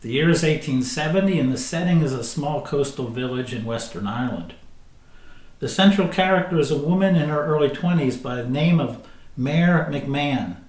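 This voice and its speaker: A man announcing a stage play, reading scripted narration in a measured, formal manner. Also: fairly high pitch, darkish tone, reverberant.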